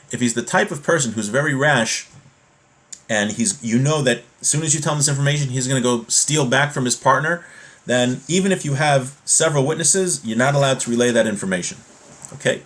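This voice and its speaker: A man's voice: mid-range voice, fairly bright tone. A man with a mid-range voice teaches a class on religious law. He works through a text and explains it didactically, often restating and rephrasing his points. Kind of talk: explanatory text study, reading passages aloud then explaining.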